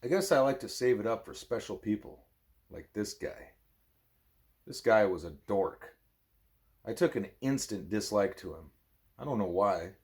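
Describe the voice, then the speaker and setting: A man, a parent, reading a book aloud expressively as a bedtime story, with a wry, deadpan manner. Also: lowish voice, unhurried, long pauses.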